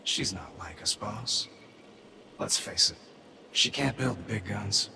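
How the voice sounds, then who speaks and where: A man's voice: fairly low pitch, slow pace, vocal fry, background noise. An actor voicing a brash male AI weapons maker in a video game, casual and cocky, explaining features and calling his user boss. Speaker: onboard AI guide.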